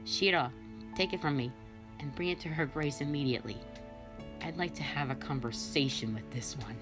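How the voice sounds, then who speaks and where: A woman's voice: sits low, normal speed, music in the background, close to an everyday voice. A woman voicing a sadistic, vengeful female fox spirit in a scripted audio drama, theatrical and condescending. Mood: cold, commanding.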